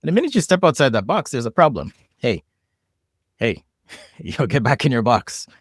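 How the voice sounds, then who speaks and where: A man's voice: mid-range voice, big pitch swings, normal speed, husky, dry recording, studio quality. A man from Jamaica with a Jamaican accent, talking reflectively as a podcast guest, often restarting and repeating words as he thinks. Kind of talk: casual conversation.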